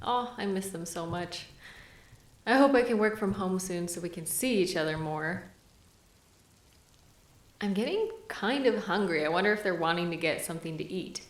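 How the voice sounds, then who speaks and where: A woman playing a caring, gently chiding partner in an intimate audio roleplay, speaking soothingly to a sweetheart. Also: on the low side, animated. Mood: tired, wistful. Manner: tender, soothing, gently questioning and unhurried. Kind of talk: intimate scripted audio roleplay addressed to the listener.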